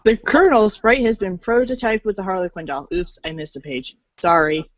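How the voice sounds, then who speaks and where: A woman's voice: medium pitch, moderate pace, dry recording, muffled recording. A woman narrating a scripted read-along storybook in an informal, playful way, stumbling over words now and then.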